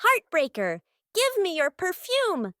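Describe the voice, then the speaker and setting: A woman voicing a small, eager, excitable girl in a scripted comic dub. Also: extremely high pitch, takes it slowly, full character voice. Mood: excited.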